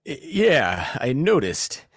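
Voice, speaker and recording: Husky, wildly animated male squad leader actor. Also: medium pitch, slow, no room sound, clean studio sound, full character voice. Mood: bossy, puzzled. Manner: excitable, indignant, commanding. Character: bossy, insecure male zombie-hunting team leader. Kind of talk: comedic audio play acting.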